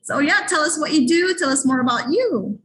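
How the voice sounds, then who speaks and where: A woman's voice: sits high, expressive, brisk, a bit bright, recorded from a distance, slight background noise. A woman hosting an interview, asking questions in a friendly, slightly hesitant way. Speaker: interview host.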